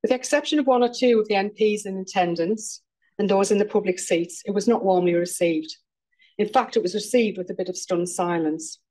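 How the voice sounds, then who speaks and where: Hoarse middle-aged woman lecturing. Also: mid-range voice, fairly quick, dark tone, rough recording. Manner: plain-spoken and critical. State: hoarse from a cold. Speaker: lecturer, prison governors' association president. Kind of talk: prepared formal lecture. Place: at a podium before a live audience.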